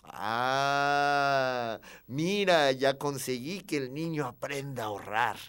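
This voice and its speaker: A man's voice: fairly high pitch, measured pace, close to an everyday voice. A man puts on a Mexican father in a dramatized story. He is firm and proud, talking to his little son with emphatic paternal authority.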